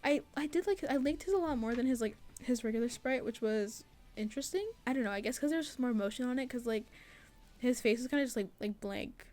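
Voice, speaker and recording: A woman's voice: a little high, fast, darkish tone. A young adult woman giving a casual, rambling devlog voice-over in an informal, self-deprecating, giggly way. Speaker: student game developer and artist.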